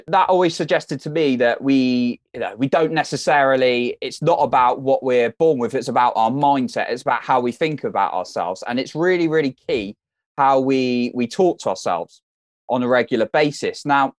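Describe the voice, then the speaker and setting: A man's voice: mid-range voice, no room sound, clean studio sound. Earnest encouraging British-raised man. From United Kingdom. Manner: earnest, encouraging, repeats words while thinking. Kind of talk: casual interview advice.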